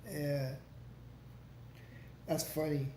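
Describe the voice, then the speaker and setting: A man's voice: a little high, little pitch movement, extremely slow, warm tone. A man hosting a casual livestream, answering viewer comments in a rambling, profane way with lots of restarts. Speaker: livestream host. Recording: echoey room with faint background noise.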